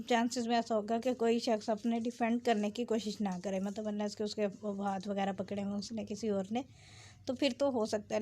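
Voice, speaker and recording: A woman giving an explanatory law lecture, switching between Urdu and English and reading statutes haltingly before explaining them. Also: a little high, darkish tone. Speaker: law teacher.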